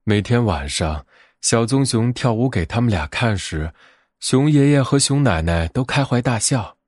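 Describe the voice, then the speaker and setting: Animated male bedtime storyteller, dry sound. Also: mid-range voice, normal speed, no room sound. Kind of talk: scripted children's fairy-tale narration.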